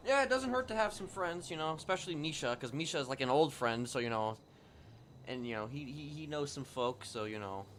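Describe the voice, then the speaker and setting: A man's voice: mid-range voice, lively intonation, brisk, a bit bright, slight background noise. A man talking casually and hesitantly on a roleplay livestream, often repeating himself. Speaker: streamer roleplaying.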